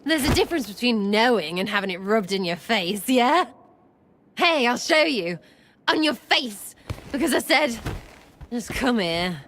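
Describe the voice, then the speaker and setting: A woman's voice: fairly high pitch, animated, a little slow, a bit bright. An actress voicing a cheeky, irreverent young elf woman who teases and uses crude slang. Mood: giddy. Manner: playful, teasing, crude slang. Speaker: companion character.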